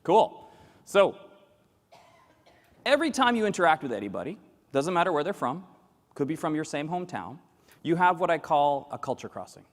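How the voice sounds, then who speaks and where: A man in his forties delivers a lively keynote in a fairly high voice. He jokes and fires questions at the room. His voice rings with the long reverb of a large conference hall. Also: moderate pace, long pauses. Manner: animated and joking, quizzes the audience. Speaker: keynote speaker.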